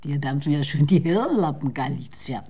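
A woman's voice: low voice, expressive, moderate pace, mellow, husky, heavily acted. An actress playing a bitter, drunken woman who curses scornfully in a radio drama. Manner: cursing, scornful, breaks into tears. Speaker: voice actor.

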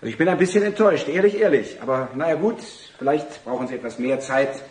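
A man's voice: mid-range voice, fast talker, husky, natural voice. A man playing a fawning, self-important schemer in a radio drama, wheedling and pompous with flustered bluster. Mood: wounded, placating. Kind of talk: theatrical radio drama acting.

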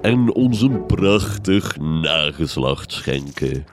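A pompous mad doctor in a gothic comedy radio play, with a Flemish accent. He orates grandly and unhurriedly, his pitch swooping theatrically, with prominent music behind him. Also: animated, slow, heavily acted. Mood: grand, scheming. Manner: grandiose, declamatory, orating.

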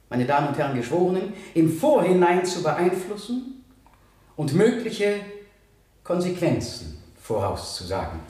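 Echoey, stern courtroom prosecutor. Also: male voice, on the high side, a little mellow. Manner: rhetorical, emphatic, formal, deliberate pauses. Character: stern, impassioned male prosecutor addressing a jury. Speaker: actor in a radio play. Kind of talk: 1970 German radio play, courtroom oratory. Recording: echoey courtroom sound, distant from mic.

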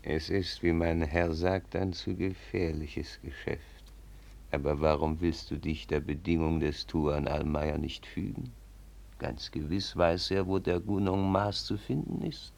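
An actor playing a sly, deferential chieftain's servant in a German radio play, speaking in a gravelly, insinuating way. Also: male voice, low-pitched, steady tone, unhurried, a little mellow. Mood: sly, persuasive.

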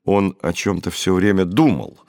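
Low-voiced man narrating, dry sound. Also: moderate pace, a little mellow, no echo. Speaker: audiobook narrator. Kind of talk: scripted literary narration.

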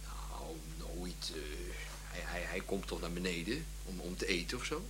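Bumbling earnest pal in a radio comedy, super low voice, stammers, bit of background noise. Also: male voice, moderate pace, fairly bright tone. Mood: puzzled. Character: hesitant, earnest male family friend, admiring great geniuses. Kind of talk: comic radio play acting.

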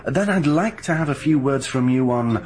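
A brash young newspaper reporter, played by a man in a radio play, chatty and pushy. His voice sits mid-pitch but swings up and down with lively intonation. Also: moderate pace, lightly acted. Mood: breezy. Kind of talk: 1980s BBC radio drama acting.

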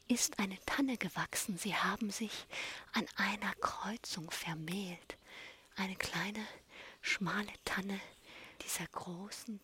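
A woman describing what she sees as she walks a forest trail, in a halting, observational way. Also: mid-range voice, fairly bright tone, husky. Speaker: poet or musician in an audio art piece. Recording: faint outdoor noise.

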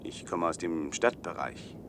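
A man's voice: sits low, a little slow, creaky, fairly bright tone, a little hiss or hum, full character voice. An actor playing an awkward, earnest young male biochemistry student in a science-fiction radio drama, with hesitant, apologetic delivery. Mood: gentle, cautious.

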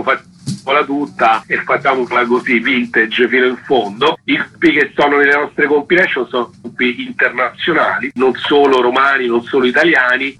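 Man, radio interview guest, bright forceful tone, slightly raspy, colloquial explanations. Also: mid-range voice, poor audio. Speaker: fanzine co-editor and interview guest.

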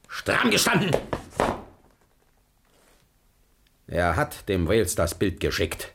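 A man's voice: deep voice, fairly bright tone, slight background noise, exaggerated voice. An actor playing a tyrannical, choleric middle-aged Prussian king in a German radio play, with an imperious, blustering manner. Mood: furious.

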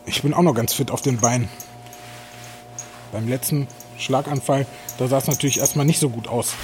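An actor playing a talkative, stoically cheerful older hospital patient in a radio drama, folksy and sure of himself. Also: male voice, fairly high pitch, darkish tone, natural voice. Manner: garrulous, folksy, self-assured.

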